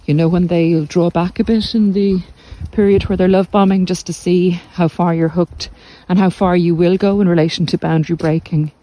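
A middle-aged woman giving reflective, informal podcast advice in a measured, deliberate way with pauses. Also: lowish voice, fairly even intonation, dark tone, rough recording. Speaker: podcast host and narcissistic abuse recovery coach. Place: walk outdoors along the coast.

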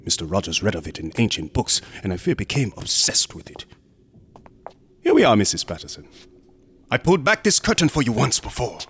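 Theatrical, sinister museum assistant, swooping delivery. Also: male voice, lowish voice, huge pitch swings, brisk, fairly bright tone, slight background noise, heavily acted. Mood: menacing. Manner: courteous, insinuating, theatrical, lapses into whispers. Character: sinister, smug, unctuous museum assistant guarding macabre exhibits. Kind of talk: horror radio drama acting.

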